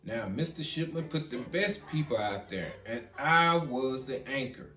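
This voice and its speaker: A man reading a children's storybook as narrator, animated and with dramatic pauses. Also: mid-range voice. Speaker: author reading own children's book. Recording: roomy echo, guitar music behind.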